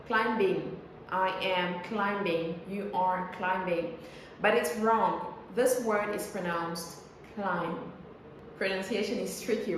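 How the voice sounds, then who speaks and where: A woman coaching English pronunciation in a conversational, explanatory style, enunciating carefully and posing rhetorical questions like a teacher. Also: mid-range voice. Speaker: English pronunciation coach and air traffic controller. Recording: very echoey room with faint noise.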